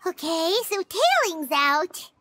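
A woman voicing a small, cute, childlike fairy companion in a video game, squeaky and perky. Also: very animated, slow pace, background noise, exaggerated voice. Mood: puzzled. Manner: speaks of self in third person. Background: music.

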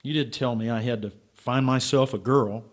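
A man's voice: medium pitch, steady tone, normal speed, close to an everyday voice. A man playing a bitter, lovelorn, hard-drinking writer in a radio drama, brooding and stubborn. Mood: self-mocking, lovelorn. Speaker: actor and narrator. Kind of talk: radio drama acting and first-person narration.

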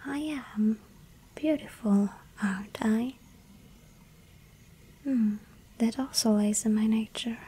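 A woman voicing a lonely yandere vampire girl in an ASMR roleplay, whispering softly and pleading. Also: fairly high pitch, takes it slowly, long pauses, heavily acted, poor audio. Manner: breathy soft whisper, pleading.

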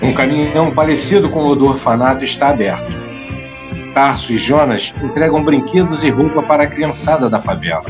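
A man's voice: fairly high pitch, brisk, highs cut off. Male drama narrator over music. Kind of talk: scripted audio drama narration.

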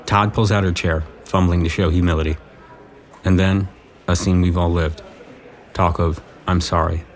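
A man's voice: low-pitched, steady tone, moderate pace, lightly acted. A man reading scripted narration for a romantic comedy audio drama.

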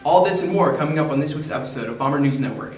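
Fast teen boy news anchor. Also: on the high side, lively intonation, a little mellow, reverberant, distant mic, soft background music. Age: teens. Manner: brisk read-aloud delivery. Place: school studio news desk.

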